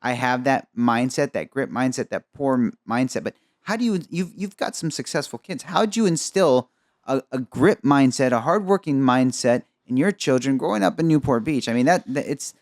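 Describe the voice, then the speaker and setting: Male podcast host from Egypt, mid-pitched, chatty, dry studio sound. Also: expressive, a little mellow, no room sound. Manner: upbeat, chatty, quick asides. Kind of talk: conversational interview.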